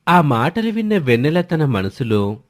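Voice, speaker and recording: A man's voice: a little high, very animated, measured pace. A man narrating a scripted family story as the storyteller.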